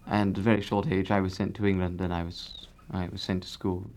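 A pompous, self-important singer who boasts of his fine bass voice, as a man acts him out. He rambles, talks in a fussy way and keeps correcting his partner. His voice sits fairly low. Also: flat delivery, brisk, darkish tone, a little hiss or hum, natural voice. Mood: grandiose. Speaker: half of a singing duo. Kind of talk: improvised comic interview.

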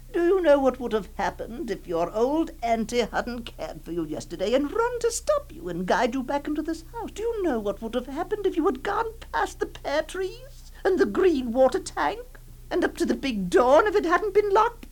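A woman acting a doting, possessive aunt who comforts a young girl in a hushed, tremulous, pleading voice, in a dramatic monologue. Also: sits high, poor audio.

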